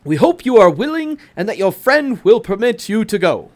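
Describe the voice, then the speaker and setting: A man's voice: high-pitched, sing-song, moderate pace. A man with a Southern US accent voicing a stern, pompous museum director in a children's storybook. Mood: formal, earnest.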